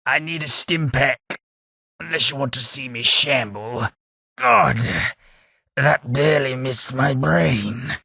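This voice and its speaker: An actor playing a sardonic, well-spoken tithe collector in a video game, with a husky, understated delivery and dry humour. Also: male voice, medium pitch, slow pace, sharp tone, no echo, full character voice. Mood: wry. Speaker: game companion character. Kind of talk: game voice lines.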